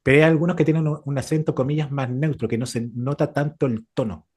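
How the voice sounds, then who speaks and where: Chilean man, middle-aged, reflective talk-show co-host with a soft-edged, mellow and slightly raspy voice. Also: mid-range voice, fairly even intonation, quick pace. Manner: explains with rhetorical questions and examples. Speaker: podcast co-host. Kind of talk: casual reflective conversation.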